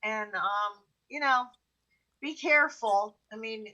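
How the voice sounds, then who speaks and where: American female co-host, bright forward voice, slightly high, chatty and candid. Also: slow, highs cut off. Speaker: show co-host. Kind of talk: casual anecdotal chat.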